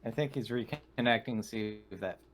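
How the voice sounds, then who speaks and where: A man's voice: mid-range voice, a little fast, a little hiss or hum. A man chatting casually as a player in an online tabletop roleplaying game. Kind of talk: casual chat.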